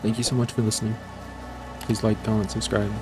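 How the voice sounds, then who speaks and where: A man's voice: fairly low pitch, flat delivery, a little fast, over music. A man giving casual, unscripted commentary as a channel host, rambling and self-deprecating.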